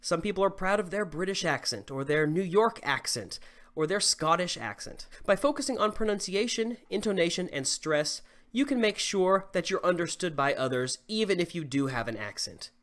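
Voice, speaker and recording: A man in his thirties from the southern US with a slight Southern accent, teaching English clearly and deliberately to camera from a small home studio. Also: high-pitched, animated, a bit bright. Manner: clear, deliberate teaching delivery with modeled examples. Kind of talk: scripted lesson to camera.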